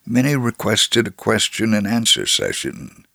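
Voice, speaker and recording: Man, lowish voice, earnest measured radio reading of a testimony, dry close sound. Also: wildly swooping pitch, gravelly, bright, forceful tone, no room sound. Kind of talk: reading a first-person testimony aloud.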